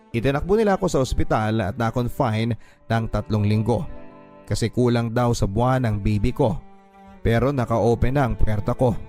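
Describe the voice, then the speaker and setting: A Filipino man with a soft, mellow voice narrates a heartfelt story for radio. His delivery is measured and sentimental, set over a music bed. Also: mid-range voice. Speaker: radio host reading a listener's letter. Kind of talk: dramatic scripted narration of a first-person love story. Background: background music.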